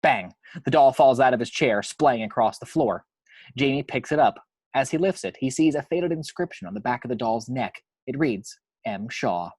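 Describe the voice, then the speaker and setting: A man's voice: mid-range voice, lively intonation, moderate pace, darkish tone, husky, no echo. A man reading action descriptions from a screenplay as scripted narration.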